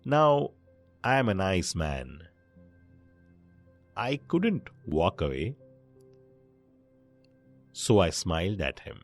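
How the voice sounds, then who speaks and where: A man narrating a scripted bedtime story in short, measured phrases with pauses between them. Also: sits low, sing-song, faint music behind.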